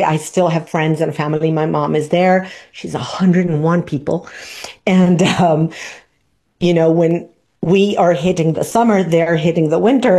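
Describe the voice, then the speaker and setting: A woman, hosting a podcast and teaching in an unhurried way, tagging her points with "right?". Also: on the low side, mellow. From Argentina. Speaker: podcast host. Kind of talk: reflective teaching talk.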